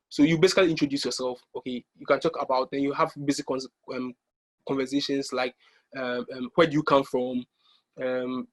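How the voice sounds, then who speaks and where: A young man from Ghana presenting an online exam-prep workshop over slides, pausing often with ums and restarting his sentences. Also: mid-range voice, rough recording. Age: young adult. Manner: hesitant, many ums and restarts.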